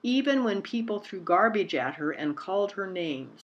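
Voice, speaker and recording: A woman's voice: medium pitch, very animated, moderate pace, highs cut off, natural voice. A woman voicing a busy, principled storybook mother, firm and matter-of-fact.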